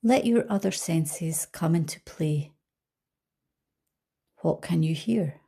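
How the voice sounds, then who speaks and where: A woman leading a guided visualisation as a coach, speaking calmly and gently with soft trailing phrases. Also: sits low, takes it slowly, slightly rough. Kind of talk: guided meditation narration.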